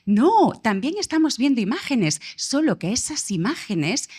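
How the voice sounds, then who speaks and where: A woman speaking passionately on a panel, with dramatic pauses and shifting pace. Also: on the high side, big pitch swings, a little mellow, slightly rough. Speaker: director on a panel. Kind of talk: live panel talk.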